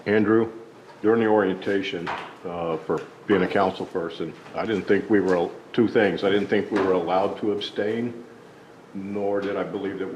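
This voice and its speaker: Very deep-voiced man on a dull mic. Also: a little mellow, slight background noise. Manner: polite, hesitant, uses fillers. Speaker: council member. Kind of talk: meeting discussion.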